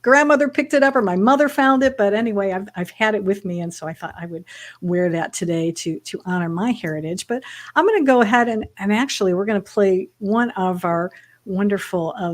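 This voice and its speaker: A woman's voice: mid-range voice, normal speed. A woman from Michigan hosting a livestream talk show, chatty and upbeat with frequent restarts. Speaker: show host.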